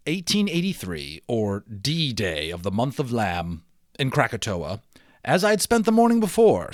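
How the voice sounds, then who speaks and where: A man's voice: medium pitch, no room sound, studio quality. A man giving a dramatic storybook read-aloud of a children's novel, with theatrical, emphatic phrasing.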